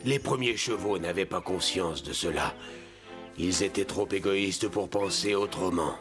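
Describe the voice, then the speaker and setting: A man voicing a wise old ghost horse in a children's cartoon, telling a solemn lesson in measured phrases. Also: on the low side, close to an everyday voice. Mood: earnest, teaching. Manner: solemn, instructive, measured storytelling. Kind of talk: French dubbed children's cartoon acting. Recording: loud music behind the voice.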